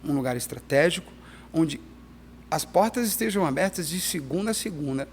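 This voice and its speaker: Guest pastor, middle-aged man, emphatic and chatty, slightly high voice, light background hiss. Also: moderate pace. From Peru and Brazil. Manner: animated, emphatic, restarts often. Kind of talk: conversational interview.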